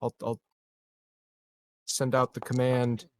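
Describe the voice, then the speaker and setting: A man's voice: mid-range voice, monotone, slow pace, darkish tone. A man playing a tabletop game, speaking casually in a hesitant, joking way. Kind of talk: casual banter.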